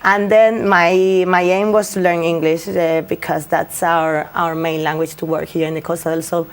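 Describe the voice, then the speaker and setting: A young woman with a Spanish accent talks through her career in English, her second language. Her voice sits fairly low for a woman, and she pauses often with fillers and restarts. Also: little pitch movement, clean tone. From Spain. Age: young adult. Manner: hesitant, many fillers and restarts. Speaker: lawyer guest. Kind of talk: interview answers.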